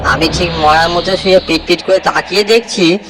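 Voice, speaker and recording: High-voiced village fisherman, acted by a man. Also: steady tone, moderate pace, noisy background, close to an everyday voice. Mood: fearful, suspenseful. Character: frightened village fisherman recounting a night among ghosts. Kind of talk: dramatised horror audio story monologue.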